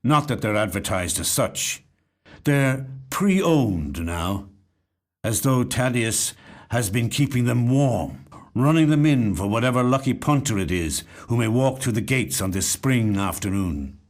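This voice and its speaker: A man reads literary fiction as a practised actor would. His delivery is measured and reflective, and his pitch moves expressively through each sentence. It is a scripted studio narration. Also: animated. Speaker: actor reading short stories. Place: recording studio.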